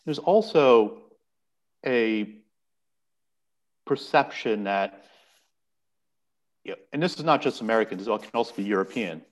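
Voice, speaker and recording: A man speaking as a podcast guest, explaining earnestly and often restarting his words. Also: fairly low pitch. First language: English. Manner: earnest, explanatory, repeats words while thinking. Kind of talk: casual podcast conversation.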